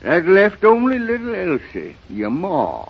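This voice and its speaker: Old country grandfather: rural American, folksy and sorrowful, unhurried halting delivery, vintage radio play, dull recording. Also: sits high, huge pitch swings, slightly rough, full character voice. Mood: wistful, sorrowful. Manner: halting, rustic, dramatic storytelling. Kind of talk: 1930s radio drama acting. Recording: muffled, noisy 1930s radio recording.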